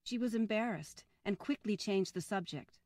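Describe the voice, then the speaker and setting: A woman's voice: medium pitch, moderate pace, a little mellow, no echo, clean studio sound. An older woman from Philadelphia with an American accent, reading a scripted personal narrative as a storyteller. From Philadelphia, United States.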